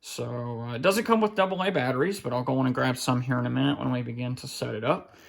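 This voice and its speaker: A man giving a casual, unscripted unboxing narration in a halting, informal way, thinking aloud as he goes. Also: medium pitch, vocal fry. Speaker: hobbyist collector presenting an unboxing. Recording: faint background noise, clicks of handling.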